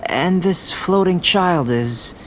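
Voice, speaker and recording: A man playing a calm, detached young alchemist in a video game, speaking in a measured, formal, analytical way. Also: high-pitched, heavy background noise. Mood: curious, clinical. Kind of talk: video game character acting. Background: background music.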